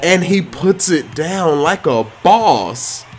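A man giving excited, slangy commentary on his own video game play, full of playful trash talk. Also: on the high side, slow pace, faint music behind.

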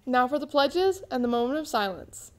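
High-voiced young female student co-anchor. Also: animated, normal speed. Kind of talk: school morning news broadcast. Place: indoor studio before green screen.

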